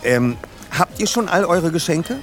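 A man's voice: fairly high pitch, very animated, a little slow. A man playing a composed, observant young detective leader in an audio drama, with precise, slightly formal phrasing. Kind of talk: German audio drama dialogue. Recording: faint music behind the voice.

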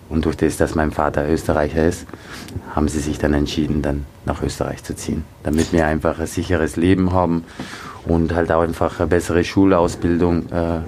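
A man with a very low voice answers interview questions in a halting, relaxed way, filling his pauses with small sounds. He grew up in Nigeria. A faint ambient hiss sits behind him. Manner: hesitant, many fillers. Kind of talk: casual interview.

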